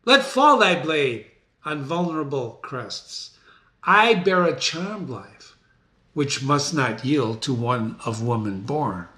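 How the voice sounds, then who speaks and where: A man's voice: medium pitch, lively intonation, slow pace, sharp tone. A man playing Macbeth, a proud, defiant, doomed warrior king, declaiming archaic verse.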